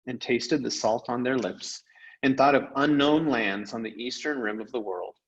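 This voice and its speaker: A man narrating a children's novel aloud in an expressive storyteller's style. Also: medium pitch, muffled recording. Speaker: read-aloud storyteller, teacher.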